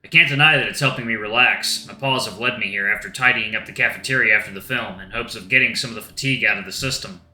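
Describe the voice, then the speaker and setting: Man reading a story in a bright voice. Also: lowish voice, fast, hardly any pauses. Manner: reflective, subdued reading. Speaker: let's-play narrator. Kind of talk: first-person visual novel narration. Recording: roomy echo with faint guitar music.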